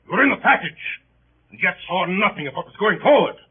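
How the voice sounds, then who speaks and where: A pompous, badgering barrister in an old radio play. His voice is high and rough, insistent and sarcastic. The sound is thin and muffled, like an old broadcast. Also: very animated, brisk, full character voice. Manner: insistent, sarcastic cross-examining. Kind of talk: 1930s radio acting.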